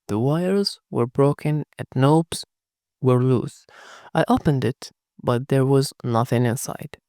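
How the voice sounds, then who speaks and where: A narrator telling a first-person horror story in a hushed, dramatic scripted reading. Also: mid-range voice, slow, no echo, studio quality.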